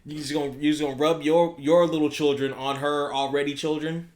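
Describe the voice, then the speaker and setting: A man co-hosting a podcast in casual, crude banter, with a loud voice that carries and many stammered restarts. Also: on the high side, level tone, fairly quick. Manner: loud, projecting voice. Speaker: podcast co-host.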